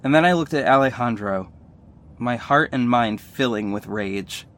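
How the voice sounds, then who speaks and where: A man's voice: mid-range voice, moderate pace, a bit bright, natural voice. A man playing an earnest, emotional young superhero, telling his story in dramatic first-person audio drama narration. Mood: enraged.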